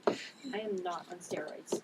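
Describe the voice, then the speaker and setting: A woman's voice: on the low side, measured pace, natural voice. Blunt, tough sister, read by a woman, noisy distant recording. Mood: defensive. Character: tough, defensive sister with superhuman strength. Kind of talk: table read of a drama script. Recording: distant mic, clear background noise.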